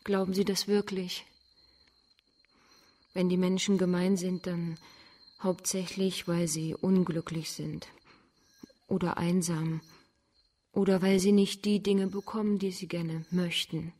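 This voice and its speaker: Frank, gentle young woman. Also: on the low side, flat delivery, takes it slowly, long pauses. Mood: earnest, reproachful. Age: young adult. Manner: candid, composed, earnest. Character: frank, gentle young blind woman who keeps singing crickets. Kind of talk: German radio drama acting.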